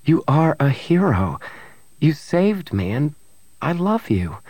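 A man voicing a gentle, devoted young woman in an audiobook, speaking softly and with feeling. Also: on the high side, very animated, slow, no echo, pitched above the performer's own voice, heavily acted. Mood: tender. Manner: soft, emotional delivery.

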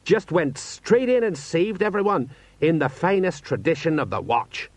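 A man's voice: a little high, lively intonation, normal speed, dry recording, pitched above the performer's own voice, lightly acted. Man doing an eager, chatty watch sergeant. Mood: excited. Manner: colloquial, helpful, fawning. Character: eager, deferential, chatty male watch sergeant, easily proud. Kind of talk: audiobook character voice.

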